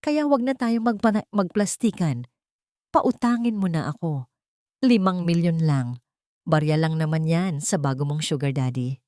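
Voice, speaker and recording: A woman's voice: low-pitched, unhurried, dark tone, no echo, clean studio sound. A woman voicing a venomous, boastful male ex-lover who taunts and extorts in an audio drama. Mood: menacing, mocking. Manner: taunting, sneering.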